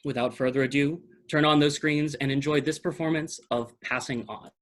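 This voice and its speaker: A man's voice: fairly high pitch, steady tone, moderate pace. A man giving scripted pre-show announcements as the announcer for a theatre company.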